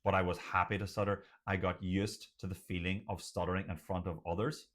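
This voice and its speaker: A middle-aged man who has a stutter, speaking earnestly and emphatically to viewers in short, measured phrases, with a motivational tone. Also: deep voice, little pitch movement, a bit bright. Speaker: doctor sharing personal experience of stuttering. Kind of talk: motivational personal talk to viewers.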